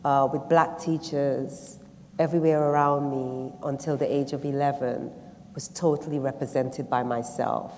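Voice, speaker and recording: Low-voiced woman on a reverberant stage. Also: fairly even intonation, moderate pace, warm tone. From Guyana. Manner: reflective, with frequent ums and restarts. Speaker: panelist at a literature festival. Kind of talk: personal reflection and reading aloud on a stage panel. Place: theatre stage before an audience. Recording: long reverb of a large hall.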